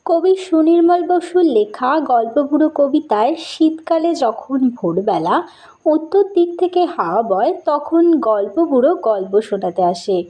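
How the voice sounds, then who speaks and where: A woman's voice: high-pitched, mellow. A woman teacher giving a school lesson, reading out questions and answers and addressing pupils directly in an instructional way.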